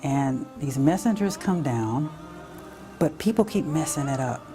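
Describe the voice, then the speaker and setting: A woman's voice: low voice, expressive, a little slow. A woman from the United States with an American accent, telling a personal story casually in an interview. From United States, raised on military bases. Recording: music playing behind the voice. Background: music.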